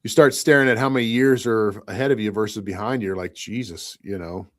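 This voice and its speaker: A man's voice: fairly low pitch, fairly quick, a bit bright. A man in his fifties from Michigan, talking in a rambling, reflective way in a casual podcast chat. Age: 50s. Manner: rambling, reflective, often says "you know what I mean".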